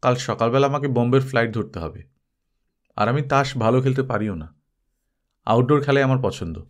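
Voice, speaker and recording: A man's voice: mid-range voice, a little fast, lightly acted. A man voicing a wounded, loyal male friend in a story, earnest and confiding with a dry manner. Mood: dry, withdrawn.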